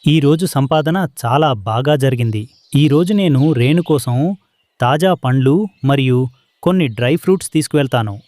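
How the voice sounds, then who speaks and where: A man voicing an honest, gentle young husband bird, a humble trader in a children's cartoon, speaking earnestly. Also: medium pitch, animated, normal speed, no room sound, natural voice. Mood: happy, tender. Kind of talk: children's animated story dialogue.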